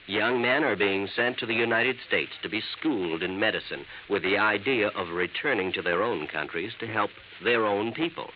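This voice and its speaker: A male announcer reading an earnest, measured public service message for an old radio show. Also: fairly low pitch. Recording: tinny, hissy old radio broadcast.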